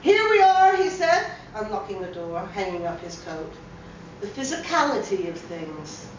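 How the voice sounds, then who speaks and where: A woman's voice: lowish voice, very animated, normal speed. A woman giving a dramatic reading of a short story as an actor would. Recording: very echoey room, distant mic, slightly dull, faint noise.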